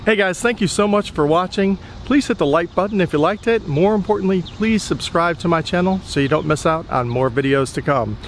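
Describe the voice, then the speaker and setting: A middle-aged man hosting a boating vlog, outdoors on a docked yacht at a marina, upbeat and quick to ask leading questions. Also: high voice, noisy background. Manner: upbeat, leading questions, hyped sign-offs. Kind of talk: enthusiastic boat-show walkthrough.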